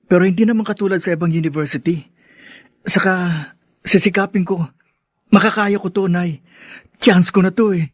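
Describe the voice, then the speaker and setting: Student son, Filipino accent, high voice, earnest, determined, radio drama, dry studio. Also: male voice, fairly even intonation, normal speed, pauses often, dry recording. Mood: hopeful, determined. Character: poor, hopeful, determined teenage student son.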